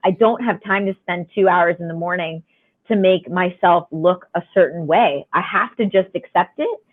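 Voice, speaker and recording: A woman guest on a podcast with a raspy voice, telling her story frankly and chattily over a remote call. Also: lowish voice, normal speed. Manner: frank, chatty, laughs often. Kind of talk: candid conversational storytelling. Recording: tinny phone-line sound.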